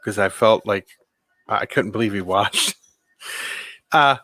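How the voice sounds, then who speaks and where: Deep-voiced man, dry and bright. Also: slow, dry recording. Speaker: podcast co-host. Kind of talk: casual chat.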